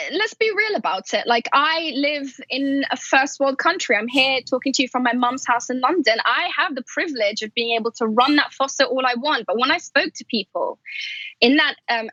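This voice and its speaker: A woman's voice: sits high, sharp tone, dry recording, highs cut off. A woman who grew up in Afghanistan, Pakistan and Saudi Arabia, talking candidly and with animation as a journalist and podcast host being interviewed. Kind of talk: conversational interview. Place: remote call from a house in London.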